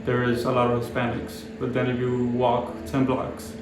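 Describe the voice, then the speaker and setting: Flat-toned young man, echoey room. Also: medium pitch, background noise. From Dominican Republic. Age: 20s. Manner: measured and explanatory. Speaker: planning graduate and local resident. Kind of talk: documentary interview. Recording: very echoey room with music behind.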